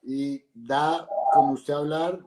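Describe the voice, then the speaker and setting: A man's voice: on the high side, fairly even intonation, slow pace, echoey room, crowd noise. A male host speaking effusively and admiringly to his guest, with hesitant restarts. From Italy. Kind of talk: casual interview.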